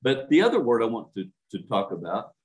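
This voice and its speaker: A man's voice: medium pitch, big pitch swings, fairly quick. An older man, a minister, preaching a sermon to a home church over a webcam, earnest and emphatic, stretching out key words.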